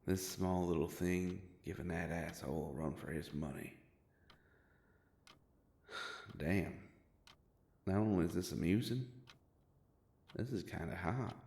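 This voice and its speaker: A man acts out a gruff werewolf private eye in an audio drama. His voice is extremely low and full of gravel, and he takes his time with every word. He comes across as a protective, sardonic boss, menacing in a folksy tough-guy way. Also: darkish tone, full character voice. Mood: amused, then irritated. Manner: slow, drawling, threatening, folksy tough-guy talk. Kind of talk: dramatic roleplay audio monologue.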